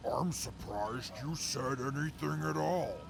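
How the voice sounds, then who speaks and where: A man acting in an audio drama as a pompous, smug, mocking sidekick with gravelly, formal, wordy speech. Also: medium pitch, noisy background. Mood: smug, gloating. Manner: pompous, formal, drawn-out, verbose.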